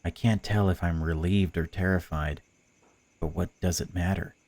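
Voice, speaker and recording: A man's voice: sits low, steady tone, moderate pace, a little mellow, no echo. A man voicing a suffering, bitter captive, reading bleak first-person narration from a visual novel. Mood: weary, bleak. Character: suffering, bitter, frightened captive bound outdoors.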